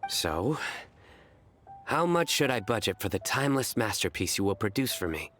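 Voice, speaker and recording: A man's voice: lowish voice, big pitch swings, normal speed, fairly bright tone, a little hiss or hum. A man voicing a serious, proud young card-game devotee in a video game, grave and formal in his wording. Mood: earnest pride. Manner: grave, formal, literal-minded.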